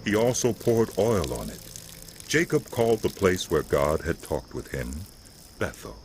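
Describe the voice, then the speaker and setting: Male narrator for Bible audio drama: very low voice, solemn, measured, with lively swings in pitch. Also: a little hiss or hum. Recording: loud music under the voice. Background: music.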